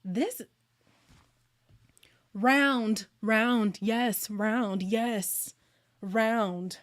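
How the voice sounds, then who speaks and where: A woman from Canada hosting a casual live chat with viewers, excitable and laughing often, her voice getting a little hoarse. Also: a little high, very slow.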